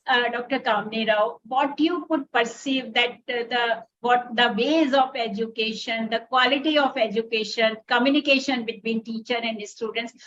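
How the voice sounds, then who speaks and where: A woman with an Indian accent hosting a conversational interview, commenting at length in halting, wordy phrases. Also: a little high, a bit bright, slight background noise. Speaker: interview host, doctor. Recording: dull, lo-fi audio.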